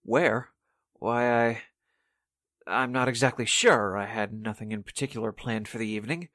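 A man's voice: mid-range voice, normal speed, fairly bright tone, dry recording, clean studio sound, natural voice. Man acting hesitant, polite son under suspicion. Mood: evasive. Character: evasive, mild-mannered grown son under suspicion. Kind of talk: 1940s-style radio drama acting.

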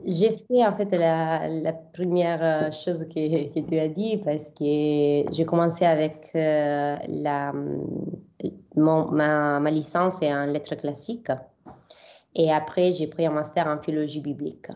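A woman's voice: sits low, fairly even intonation, slow, warm tone, muffled recording, a little hiss or hum. A young Italian woman from southern Italy, a biblical scholar answering interview questions in French with many fillers and restarts.

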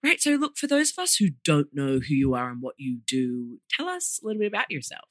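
A woman's voice: deep voice, wildly swooping pitch, fairly quick, a bit bright, no echo, studio quality. A woman hosting a podcast, speaking in an upbeat, emphatic way straight to her listeners. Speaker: podcast host. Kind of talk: scripted podcast introduction and interview hosting.

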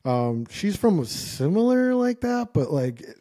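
Mellow, slangy American man chatting. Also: sits high, very animated, a little slow. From United States. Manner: informal, slangy, rambling. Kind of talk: casual chat, personal anecdotes.

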